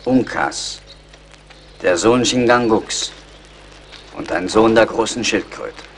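A dignified young warrior declaims proudly in a low voice. The delivery is solemn, measured radio drama, with faint noise in the background. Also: male voice. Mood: proud, calm. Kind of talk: German radio play acting.

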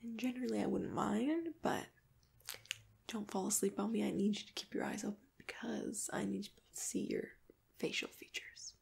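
A young woman doing a gentle ASMR personal-attention roleplay in a soft, breathy whisper, murmuring close to the mic to one listener. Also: mid-range voice, steady tone, normal speed. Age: young adult. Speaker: ASMR roleplay host playing a sketch artist. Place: bedroom, indoors. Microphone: close mic, facing camera.

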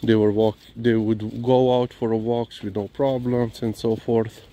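Male vlogger, Slovenian accent, mid-pitched, very leisurely and rambling delivery, recorded outside on a walk. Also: little pitch movement, warm tone. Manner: hesitant, halting delivery with frequent "you know". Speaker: dog owner and vlogger. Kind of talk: casual walk-and-talk vlog, rambling. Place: outdoors, on a walk. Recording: clearly noisy outdoor ambience, vehicle sounds.

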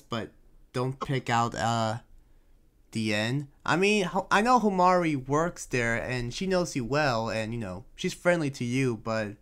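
A man's voice: mid-range voice, clear, resonant tone. A man playing a friendly, reassuring but cautious townsman in an improvised anime game roleplay, rambling hesitantly in conversation. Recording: loud background music. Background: music.

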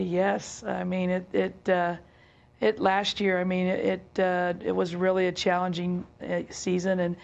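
A middle-aged woman basketball coach with a hoarse, raspy voice, answering interview questions over a video call with many halting fillers. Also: on the low side, darkish tone, highs cut off. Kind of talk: remote press interview. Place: office with team logo backdrop.

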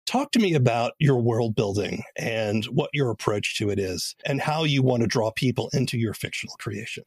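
A man's voice: medium pitch, animated, moderate pace, slightly rough, no room sound. A man hosting a podcast interview, speaking conversationally as he recaps and asks questions.

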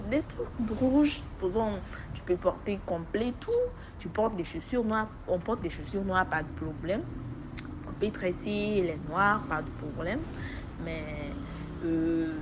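A woman from Togo with a West African accent, speaking French as an additional language and giving an informal testimony in an animated storytelling way. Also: mid-range voice, muffled recording, noisy background. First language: not French. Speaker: testimony giver. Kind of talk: informal spoken personal testimony.

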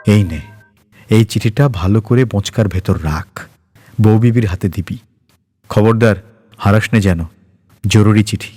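A man's voice: lowish voice, unhurried, pauses often, mellow, soft background music. A man voicing a grieving, dutiful master who speaks in a commanding way to his servant, in a story reading. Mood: curt, ordering. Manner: commanding, muttering to himself at times.

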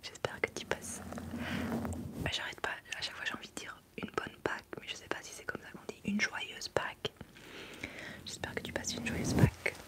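A young woman, whispering casually into a close desk mic during an ASMR livestream, rambling and answering chat. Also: moderate pace. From France. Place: small bedroom studio indoors. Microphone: large desk mic up close.

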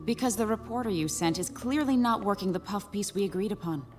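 A woman's voice: medium pitch, animated, fairly quick, natural voice. A woman playing a haughty, guarded auction house official in a video game, formal and curt. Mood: cool, suspicious. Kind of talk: naturalistic cinematic video game acting. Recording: clear music behind the voice.

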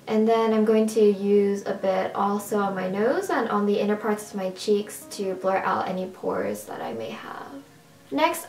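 A young woman with a raspy, strained voice chatting casually through a makeup tutorial at home. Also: mid-range voice, reverberant. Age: young adult. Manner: chatty, upbeat, casual with fillers. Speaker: beauty vlogger. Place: small room at home, indoors.

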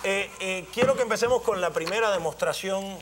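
A man's voice: high voice, fairly quick. A man in his forties hosting a television talk show, joking and exclamatory, presenting and bantering in a studio. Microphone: lapel mic. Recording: studio audience noise, background hiss.

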